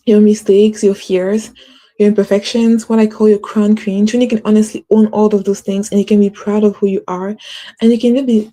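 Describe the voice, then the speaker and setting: Mellow-voiced woman host who stutters. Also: medium pitch. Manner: stutters, uses many fillers; earnest, encouraging. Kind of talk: casual motivational talk.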